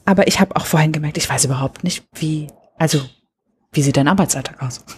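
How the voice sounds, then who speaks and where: Husky-voiced woman interviewer, thinking aloud. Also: deep voice, darkish tone. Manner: thinking aloud, halting, searching for words. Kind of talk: casual conversational interview.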